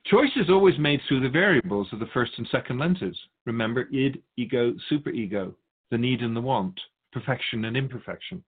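A man speaking as a spiritual guide, answering in a measured, assured, didactic way in philosophical conversation. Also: medium pitch, animated, slightly rough, narrow, tinny audio.

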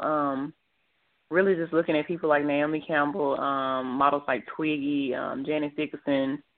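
A woman in her twenties from Texas, answering interview questions over the phone and pausing often on ums. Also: extremely low pitch. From Dallas, Texas. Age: 20s. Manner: hesitant, many ums, soft-spoken. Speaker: guest model. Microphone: phone line. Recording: tinny phone line.

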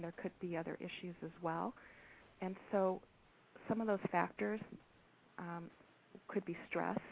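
A soft-spoken woman, an author, being interviewed over the phone, speaking hesitantly with many pauses and ums. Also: medium pitch, vocal fry. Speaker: author and guest. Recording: tinny phone line with faint hiss.

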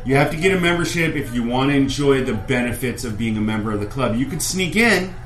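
A man's voice: mid-range voice, expressive, fast talker, a bit bright, a little hiss or hum. A man chatting casually with friends on a wrestling fan show. He rambles, starts sentences over and explains things at length. His voice sits in the middle range and bounces around a roomy space. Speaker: podcast co-host. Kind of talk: casual chat among fans.

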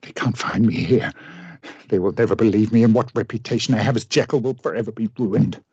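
An actor in a radio play playing a tormented, remorseful gentleman scientist with grave, formal, deliberate diction. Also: on the low side, breathy edge, dry recording, dull audio. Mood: desperate resolve.

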